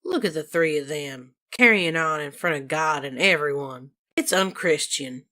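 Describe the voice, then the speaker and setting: A woman's voice: sits low, expressive, normal speed, pushed and bright. A woman playing a prim, judgmental churchgoing survivor in an amateur comic dub. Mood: scandalized.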